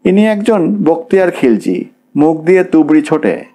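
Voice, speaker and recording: A man's voice: fairly high pitch, very animated, normal speed, dark tone, pitched above the performer's own voice. A man voicing a picky, outspoken young woman with a frank, witty, mocking manner. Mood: mocking, dismissive.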